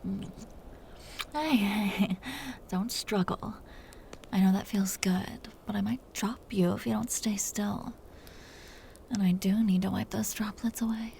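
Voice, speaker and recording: A woman voicing a possessive, tender vampire countess in a soft, breathy whisper, in an intimate ASMR roleplay with slow, soothing, teasing endearments. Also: mid-range voice, steady tone, a little hiss or hum. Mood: playful possessive.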